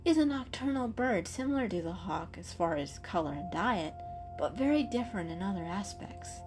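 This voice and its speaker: A woman voicing a calm, kindly, bookish female cat in a storybook, explaining things gently and precisely. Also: medium pitch, normal speed, soft background music, close to an everyday voice.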